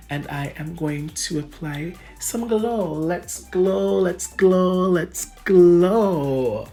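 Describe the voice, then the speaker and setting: A woman with a Jamaican accent walks through her makeup in a relaxed, chatty voice, calling her viewers "guys". Her voice sits low for a woman. Faint music plays quietly behind her. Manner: chatty, addresses viewers as guys, laughs often. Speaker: beauty vlogger. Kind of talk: casual makeup tutorial narration. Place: home room under bright lights.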